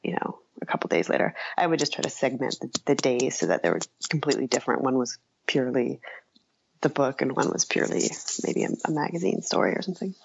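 Low, creaky-voiced woman in casual interview. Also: expressive, muffled recording, a little hiss or hum. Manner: halting, thinking aloud, trails into asides. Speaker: interview guest, nonfiction writer.

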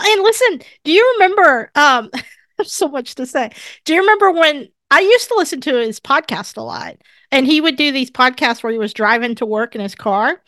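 A woman's voice: sits high, a bit bright, dry recording, clean studio sound. Congested, chatty woman co-host. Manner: chatty and opinionated, leading the talk. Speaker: podcast co-host. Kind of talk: casual chat.

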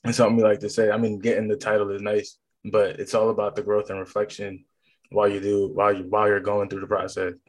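Male American show host, slightly low-pitched, informal and chatty, casual interview delivery. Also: monotone, fast talker. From Massachusetts, United States.

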